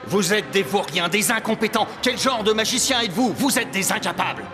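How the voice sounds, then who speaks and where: Cartoon pharaoh played by a man: fairly high male voice, proud, stubborn, scornful, over clear music. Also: fairly quick, close to an everyday voice. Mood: angry contempt. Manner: imperious, contemptuous. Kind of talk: dramatic cartoon voice acting. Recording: music under the voice.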